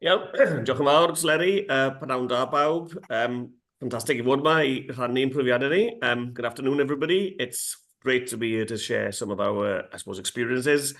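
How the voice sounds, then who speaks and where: A man's voice: medium pitch, a little fast, hardly any pauses, fairly bright tone. A man, a company general manager speaking as a guest in an online panel over a video call. He talks in a stop-start way, correcting himself often.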